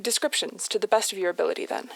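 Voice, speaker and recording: A woman's voice: medium pitch, very fast, creaky, heavy background noise, close to an everyday voice. A woman playing a formal, businesslike foundation contact speaking by radio in an audio drama.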